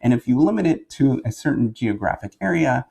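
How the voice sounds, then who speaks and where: A man with a Canadian accent giving a casual explainer monologue as a professor, in a halting, rambling way, correcting himself and checking in as he goes. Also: mid-range voice, lively intonation, creaky, a little mellow, slightly rough. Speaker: professor and video host.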